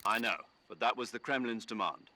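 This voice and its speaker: An actor playing a middle-aged military commander in a video game, briefing an agent in an authoritative, precise and expressive way. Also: male voice, medium pitch, brisk. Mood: firm, commanding. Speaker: mission commander.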